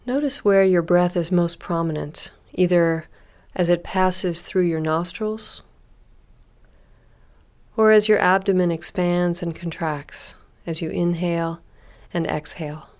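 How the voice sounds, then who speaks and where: A woman leading a guided body scan meditation as an instructor, speaking calmly and gently in an unhurried way with long pauses. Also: lowish voice.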